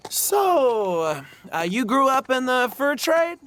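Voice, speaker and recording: An actor playing a chatty, cocky young fortune seeker in an audio drama, wheedling and stammering when challenged. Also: male voice, very high voice, sing-song, slow, fairly bright tone, a little hiss or hum, heavily acted. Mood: complaining.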